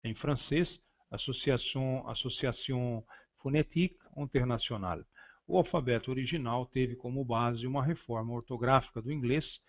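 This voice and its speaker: A man teaching a class on phonetics in a halting, lecturing way, restarting phrases and often saying "então". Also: mid-range voice, dull audio. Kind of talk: recorded lecture.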